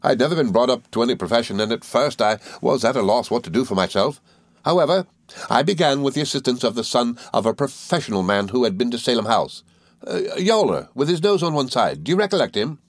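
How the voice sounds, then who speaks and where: A man voicing a cheerful, good-natured young gentleman law student in an audiobook, eager and flustered, with little stammering hesitations. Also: medium pitch, sing-song, fast talker, no echo, clean studio sound, voice pushed up. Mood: chatty, confident. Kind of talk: audiobook character dialogue.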